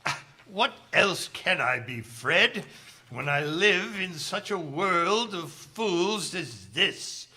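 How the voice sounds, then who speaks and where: Male actor as cantankerous old miser, radio play, very hard-edged bright tone, slightly high pitch, gruff muttering. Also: animated, slow pace, slight background noise, exaggerated voice. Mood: contemptuous. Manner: gruff, scornful, grumbling with muttered hums. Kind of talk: stage radio drama acting.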